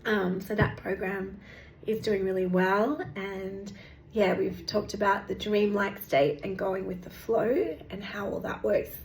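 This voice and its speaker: A woman, hosting a casual vlog update, rambling and chatty with lots of fillers and restarts, her voice going hoarse. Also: medium pitch, little pitch movement, measured pace. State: losing her voice. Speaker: meditation teacher and channel host. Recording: echoey room with faint background noise.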